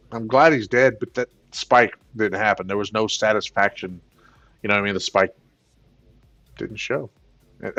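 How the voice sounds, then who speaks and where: A man with a plain baritone voice, hosting a casual gaming livestream, rambling with many fillers and excited bursts. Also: highs cut off. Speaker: gaming channel host.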